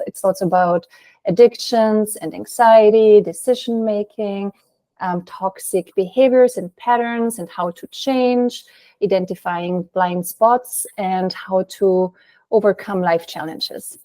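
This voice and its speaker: Mellow woman coach from Austria. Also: mid-range voice, fairly even intonation, dry recording. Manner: measured, with frequent ums. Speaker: therapeutic coach guest.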